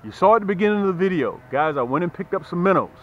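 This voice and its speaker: A man with a fairly high, very lively voice talks to the camera as a fishing host and tackle seller. He is folksy and emphatic, repeating himself to drive points home. The sound is slightly muffled with some background noise. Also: very fast. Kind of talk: casual sales pitch to camera.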